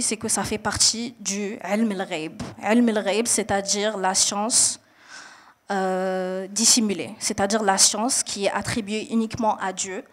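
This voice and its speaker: Earnest young woman on a panel. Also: medium pitch. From Mali and Niger, raised in Saudi Arabia. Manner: earnest, explanatory, frequent hesitations and restarts. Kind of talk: panel discussion answer.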